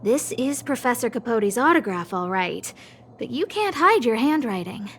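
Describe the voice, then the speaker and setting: A woman's voice: on the high side, big pitch swings, normal speed, slight background noise. A woman playing an earnest, dramatic young noblewoman boss in an anime-style video game, with emphatic, theatrical delivery. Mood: accusing, triumphant.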